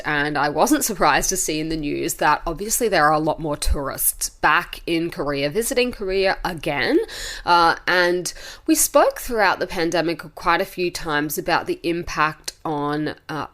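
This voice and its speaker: A woman's voice: fairly low pitch, fairly bright tone. A woman hosting a podcast, chatting casually while congested and a bit nasal from a cold. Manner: chatty, conversational, frequent "uh" asides. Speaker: podcast host. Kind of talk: casual solo podcast chat.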